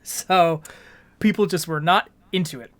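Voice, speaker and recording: A man co-hosting a podcast, explaining things from his notes in an articulate, measured, conversational way. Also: a little high, fairly bright tone. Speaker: podcast co-host.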